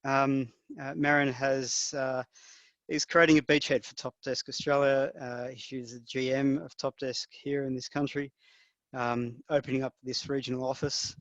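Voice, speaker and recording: A man hosts an online conference and introduces the next speaker with upbeat energy and plenty of filler words. His voice is of middling pitch. The audio is dull and lo-fi, and it sounds dry and close. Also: fairly bright tone, no echo. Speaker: event host. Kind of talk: hosting an online event. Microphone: remote video call.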